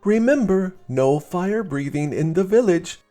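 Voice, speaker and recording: A man puts on a doting mother dragon from a children's storybook. The voice is pitched high and swings in a sing-song, coaxing way. She sounds motherly and warmly bossy. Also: lively intonation, unhurried, faint music behind. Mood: gently warning.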